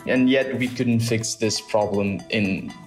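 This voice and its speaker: A young man from the south of Portugal, a founder answering interview questions thoughtfully with many pauses and restarts. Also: on the low side, steady tone. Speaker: startup founder, guest. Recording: roomy sound with music bed.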